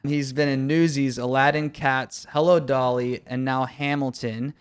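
A man's voice: medium pitch, level tone, measured pace, clear, resonant tone. Easygoing male podcast host. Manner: chatty, easygoing, upbeat. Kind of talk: casual chat.

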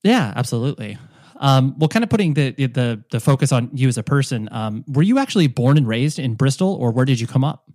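A man's voice: medium pitch, expressive, fairly quick, darkish tone. A man hosting a podcast interview, talking in long rambling turns full of restarts and fillers. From United States. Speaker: podcast host. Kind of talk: casual interview chat.

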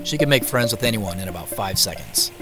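A curious, reflective American man in his forties, played by an actor, narrates an audio drama in the first person. His voice sits on the low side, and soft music plays behind him. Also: expressive, a little fast, close to an everyday voice. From Seattle, United States. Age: 40s. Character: reflective, curious grandson recounting a family mystery.

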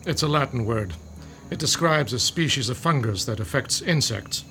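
Eccentric reclusive professor. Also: male voice, medium pitch, animated, normal speed, pushed and bright, soft background music. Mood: lecturing. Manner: mild, lecturing.

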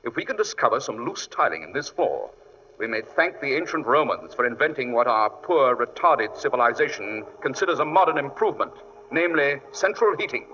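An actor playing an imperious, coolly sardonic British detective in 1940s radio drama style, crisp, superior and dryly witty. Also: male voice, medium pitch, very animated, brisk, background noise, close to an everyday voice. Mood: dry, composed. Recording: dull reverberant vintage radio recording.

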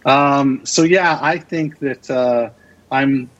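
A man's voice: mid-range voice, slow pace, fairly bright tone, dull audio. A man chatting casually and with opinions as a podcast guest, speaking with enthusiasm and emphatic repetition. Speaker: podcast guest, radio drama producer.

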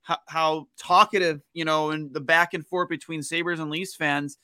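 A man from Western New York co-hosting a casual hockey podcast, talking in a halting way and repeating words as he builds his point. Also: fairly high pitch, steady tone, sharp tone, dry recording. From Western New York, United States. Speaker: sports podcast co-host.

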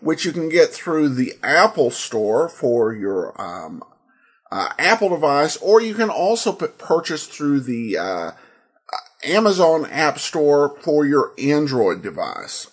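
An American man hosting a podcast with informal commentary, hesitant and full of filled pauses. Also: on the high side, very animated, breathy edge. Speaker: podcast host.

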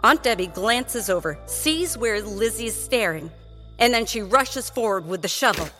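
Lively, suspenseful woman storyteller. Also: a little high, unhurried, faint music behind. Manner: suspenseful, emphatic. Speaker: narrator. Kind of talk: scripted dramatic storytelling for children.

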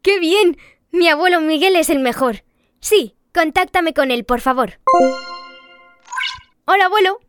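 A woman's voice: extremely high pitch, lively intonation, a little slow, long pauses, a bit bright, no echo, soft background music, heavily acted. A woman playing a curious, eager schoolgirl in an audio drama, asking questions politely. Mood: eager, delighted. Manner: inquisitive, polite. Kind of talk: scripted audio drama dialogue.

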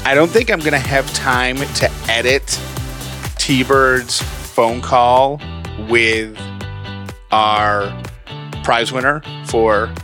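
A relaxed man co-hosting a chatty podcast, his voice sitting at an ordinary middle pitch. He talks in a loose, joking way. Clear music plays under his voice. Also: extremely slow. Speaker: podcast co-host. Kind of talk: casual chat.

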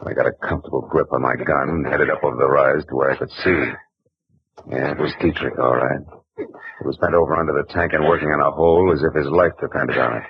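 Give me a dry, hard-boiled detective narrating low and deep from a dull old radio drama. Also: male voice, fairly even intonation, fast, husky, dry recording, heavily acted. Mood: wry narration. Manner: dry, wisecracking first-person narration. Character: hard-boiled male private eye, wry and tough. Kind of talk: 1940s radio acting.

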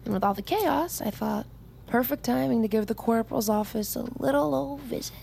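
An actress playing a cocky, irreverent young army recruit in a naturalistic audio drama, sardonic and flippant. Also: female voice, on the high side, a little fast, gravelly, warm tone, slight background noise. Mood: wry, teasing. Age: young adult.

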